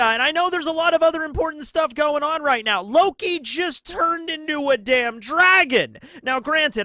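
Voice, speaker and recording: A man hosting his own commentary channel, talking in a chatty, excitable way with lots of rhetorical questions, his throat scratchy and his nose sniffly from a cold. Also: very high-pitched, no room sound. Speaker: channel host. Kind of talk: casual commentary video.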